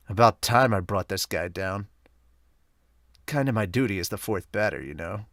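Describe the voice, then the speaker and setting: A man voicing a gruff, cool-headed young baseball player in a manga dub, grumbling in a low-key way. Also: animated, unhurried, a bit bright, dry recording, studio quality.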